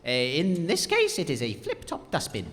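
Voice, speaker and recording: A woman playing an excitable, easily frightened radio reporter in a comic audio drama, giving earnest live commentary. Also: sits low, normal speed, long reverb tail. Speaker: radio presenter.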